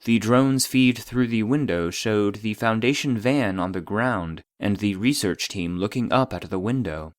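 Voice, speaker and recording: An actor voicing an impersonal database that reads out classified reports in a measured, official, detached manner. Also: male voice, fairly low pitch, no room sound, studio quality, close to an everyday voice. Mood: clinical, detached. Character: formal, impersonal male database voice reading classified logs. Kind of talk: scripted narration of clinical reports.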